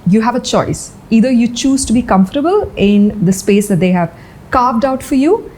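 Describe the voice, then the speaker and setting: A woman's voice: fairly high pitch, animated, moderate pace, warm tone, slight background noise, low-quality recording. A woman guest in an interview, telling her life story in an earnest, reflective way, often saying "you know". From India. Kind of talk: candid personal interview.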